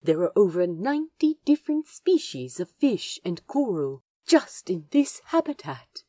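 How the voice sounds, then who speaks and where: Woman as mellow, fussy, gentle Aziraphale. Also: mid-range voice, big pitch swings, measured pace, no room sound. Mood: eager, explaining. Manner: prim, fond, explaining. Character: Aziraphale, gentle, fussy, eager male nature guide. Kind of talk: fan audio drama acting.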